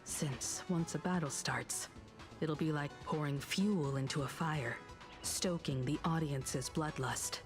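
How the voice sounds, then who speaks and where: A woman voicing a composed, principled adult heroine in an adventure game, measured and earnest. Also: low-pitched. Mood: calm, concerned. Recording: loud game music behind.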